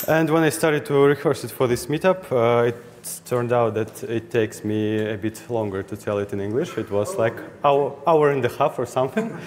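A man's voice: mid-range voice, normal speed, hardly any pauses. Give me a hesitant young man with a Russian accent presenting at a meetup, with faint room noise. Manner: hesitant, many uh fillers and restarts. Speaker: conference speaker. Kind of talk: technical meetup talk. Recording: hall reverb, faint ambient noise.

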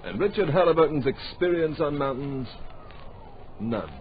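A man's voice: fairly high pitch, expressive, moderate pace, lightly acted. A man giving dramatic scripted narration for a radio drama in clipped, portentous phrases. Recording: dull, hissy old radio recording.

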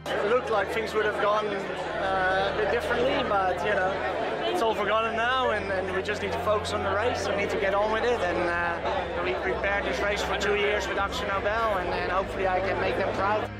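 A man's voice: high voice, steady tone, a little fast. A man, a sailing skipper, giving a short, determined interview outdoors on a busy dock. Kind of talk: interview answers. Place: outdoors in a crowded dock area. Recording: noisy crowd and music.

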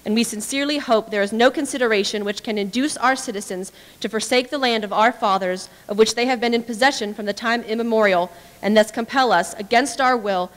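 A young woman giving an earnest, measured reading of a historical petition at a podium microphone. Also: a little high. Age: young adult. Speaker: dramatic reader. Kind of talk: formal read-aloud of historical petition. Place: indoor hall with brick walls, small audience. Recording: reverberant hall.

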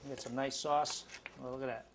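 A man's voice: mid-range voice, level tone, normal speed, very noisy. A middle-aged man hosting a home cooking show, demonstrating a dish in his kitchen with enthusiasm and plenty of fillers. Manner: enthusiastic, talks while cooking, many fillers.

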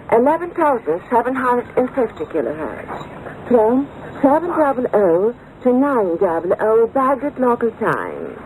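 A woman's voice: a little high, a little mellow. A woman working as a radio announcer, giving formal scripted station information on 1980s shortwave. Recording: faintly noisy 1980s shortwave radio recording.

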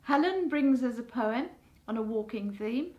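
Older lady reading the intro, bit high voice, calm, muffled lo-fi sound from her living room. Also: normal speed. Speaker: co-presenter.

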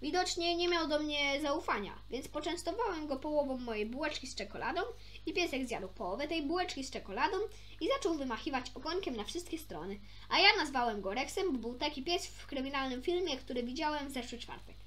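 A woman's voice: sits high, animated, fairly quick, a bit bright. A woman reading a children's story aloud expressively, occasionally stumbling and rereading a phrase.

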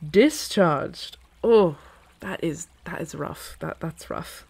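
A woman playing an earnest, playful dragon in human form in a fantasy ASMR roleplay, with a casual, teasing, halting delivery. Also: fairly low pitch, expressive, gravelly, a little mellow, slightly rough, exaggerated voice. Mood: amused, casual.

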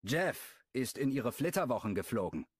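A man voicing a furious, protective brother in an audiobook, speaking loudly and agitatedly. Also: mid-range voice, sing-song, normal speed, breathy edge, dry recording, studio quality, pitched above the performer's own voice. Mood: tense, urgent. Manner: loud, agitated, raised voice.

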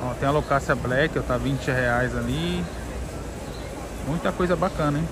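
A man's voice: medium pitch, a little slow. A Brazilian man giving a casual, chatty walk-through as a vlogger at a market, talking informally and straight to viewers. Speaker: vlogger showing a plant market. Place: busy outdoor street market. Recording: noisy outdoor recording with street sounds.

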